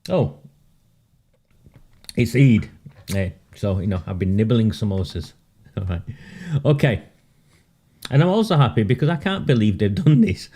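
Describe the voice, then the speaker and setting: Low, mellow-voiced man ranting sardonically. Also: expressive, unhurried, long pauses, slightly rough. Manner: sardonic, laughs often, emphatic repetition. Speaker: political commentary host. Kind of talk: casual live-stream monologue.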